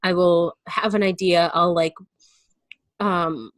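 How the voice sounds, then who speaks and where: A woman's voice: fairly low pitch, steady tone, takes it slowly, dry recording. A woman guest in a casual personal chat, speaking candidly and informally with emphatic turns of phrase.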